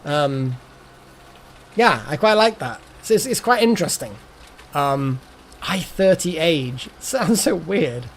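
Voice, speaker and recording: Chatty game streamer guy, stumbles over his words, voice a bit on the high side. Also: big pitch swings, takes it slowly, a little hiss or hum. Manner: rambling, repeats words, muses aloud. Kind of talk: casual live stream chat.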